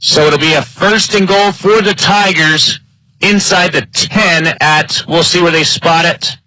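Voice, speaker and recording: A man announcing live football play-by-play in clipped phrases, his excitement building on big plays. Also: fairly high pitch, a little slow, no room sound, poor audio. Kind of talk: live sports play-by-play commentary.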